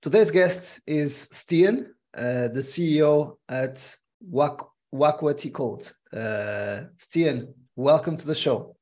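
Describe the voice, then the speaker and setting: A man's voice: a little high, slow, darkish tone. A man hosting a business podcast, asking questions in an upbeat, loose way with many fillers. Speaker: podcast host. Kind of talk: casual interview hosting.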